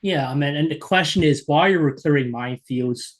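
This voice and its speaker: A man's voice: a little high, a little fast. A man hosting an interview, asking questions in an eager, curious way. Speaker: interview host. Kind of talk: conversational interview.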